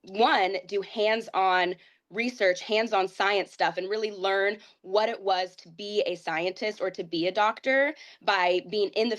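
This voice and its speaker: A young woman in her twenties from Ohio, a pageant titleholder telling her story in a casual interview, earnest and upbeat with a rambling flow. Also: on the low side. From Ohio, United States.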